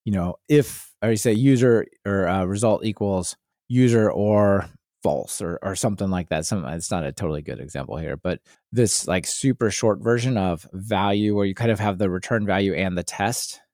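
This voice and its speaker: A man's voice: sits low, moderate pace, darkish tone, dry recording, clean studio sound. A man hosting a podcast, talking casually about tech. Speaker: podcast host.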